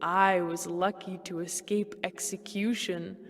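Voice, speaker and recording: A woman's voice: lowish voice, level tone, a little slow. An actress playing a grave, weary woman in a dramatic video game cutscene. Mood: somber, worried. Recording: long hall reverb.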